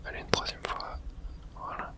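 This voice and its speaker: Whispering man, ASMR gamer. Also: normal speed. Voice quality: breathy unvoiced whisper. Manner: hushed, thinking aloud in short remarks. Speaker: video game streamer. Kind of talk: whispered ASMR game commentary.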